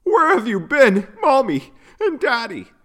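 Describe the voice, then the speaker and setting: A gentle young unicorn boy from a children's storybook, acted out by a man, speaks in a raised, lilting voice. He sounds childlike and earnest, with plenty of movement in his pitch. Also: huge pitch swings, a little slow, exaggerated voice. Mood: happy, eager. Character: gentle young male unicorn, wistful and eager.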